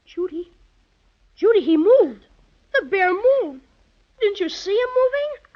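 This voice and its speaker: An actor playing an eager, bold, excitable young boy in a 1930s children's radio serial, full of old-fashioned exclamations. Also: very high-pitched, slow pace, heavily acted. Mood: insistent, bold. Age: child character. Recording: muffled 1930s radio transcription.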